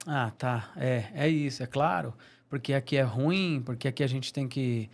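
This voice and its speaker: A man's voice: mid-range voice, moderate pace. A Brazilian man co-hosting a podcast in casual, supportive chat. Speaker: podcast co-host.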